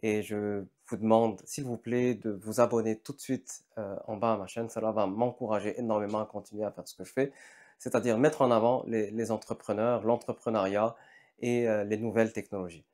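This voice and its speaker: A man hosting an interview, asking questions in a relaxed conversational way. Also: fairly low pitch, fairly quick. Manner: conversational, brief prompts. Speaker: interviewer, channel host.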